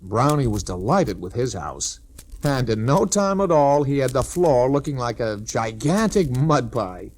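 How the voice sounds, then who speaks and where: A man's voice: medium pitch, very animated, a little slow, darkish tone. A man narrating a scripted children's story in 1960s storybook-record style.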